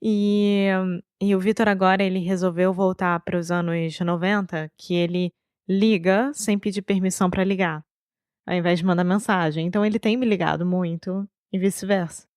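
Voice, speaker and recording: Carioca woman cohosting a podcast, low voice, fast lively chat, laughs a lot, dry close mic. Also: clear, resonant tone, dry recording. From Brazil. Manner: lively, laughing, quick bursts of talk. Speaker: podcast co-host. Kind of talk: casual conversational chat.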